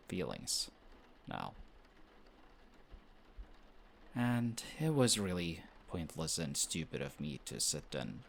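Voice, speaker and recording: Man acting a low-voiced flustered young best friend. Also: creaky, exaggerated voice. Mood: soft, apologetic, shy. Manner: defensive, bickering, halting when shy. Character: young tsundere male best friend, flustered, defensive, secretly smitten. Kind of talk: romantic audio roleplay, intimate one-sided dialogue.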